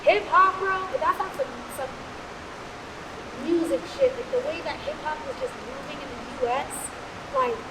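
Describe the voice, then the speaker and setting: A woman's voice: very high voice, moderate pace. A young woman from Los Angeles on a dance panel, answering candidly in loose, rambling talk full of fillers in front of a live audience. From Los Angeles, USA. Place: room before a live audience. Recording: echoey room with steady hiss.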